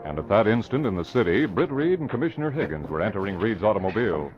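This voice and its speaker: A man's voice: on the low side, sing-song, quick pace. A man narrating a scripted 1940s radio drama. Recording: dull old radio recording. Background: music.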